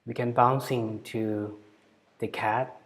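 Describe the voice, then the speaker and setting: A man moderating a video call, passing the turn to each speaker with brief, relaxed handoffs. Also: mid-range voice, slow.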